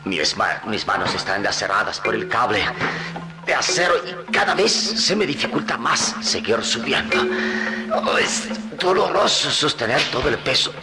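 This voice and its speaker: An actor playing a calm, strong hero in a radio serial, steady and determined even while straining. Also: male voice, mid-range voice, normal speed. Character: strong, calm heroic adult man. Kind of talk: 1960s radio serial acting. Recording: old radio drama under loud music.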